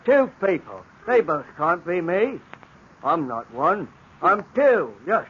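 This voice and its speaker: An actor playing a simple-minded, confused young stable hand, talking in a slow, dazed, childlike way. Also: male voice, high-pitched, very animated, fairly bright tone, exaggerated voice. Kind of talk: 1940s radio acting. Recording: dull old radio recording with hiss.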